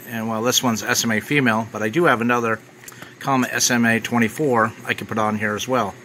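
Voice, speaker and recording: A man's voice: medium pitch, bright, forceful tone, slight background noise. A man giving casual, off-the-cuff unboxing commentary as a product reviewer. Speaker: ham radio reviewer.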